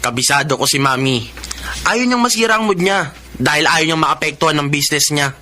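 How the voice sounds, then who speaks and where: An actor playing a spoiled, defiant blind son of twenty in a radio drama, brash and dismissive. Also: male voice, a little high, brisk, pushed and bright, slight background noise. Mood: smug.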